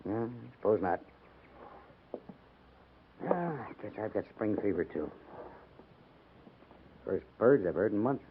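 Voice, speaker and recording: Low, emphatic cavalry major, old radio. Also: male voice, lively intonation, takes it slowly, pauses often, phone-quality sound. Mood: resigned. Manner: emphatic, authoritative. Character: gruff but easygoing male cavalry major in command. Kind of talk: 1950s radio acting. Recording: noisy band-limited 1950s radio recording.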